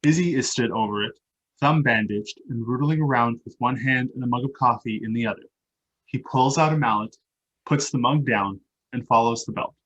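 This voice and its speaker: A man with a slightly husky voice reading stage directions as scripted narration for a play. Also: mid-range voice, moderate pace, fairly bright tone, lo-fi sound. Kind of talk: scripted narration at a live table read.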